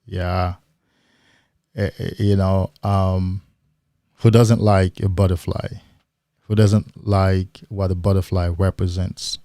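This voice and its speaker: Slow, deep-toned man hosting a livestream. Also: no echo, studio quality. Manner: slow, emphatic, rhetorical questions; mimics excited men in a higher voice. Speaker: livestream host. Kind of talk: motivational livestream talk.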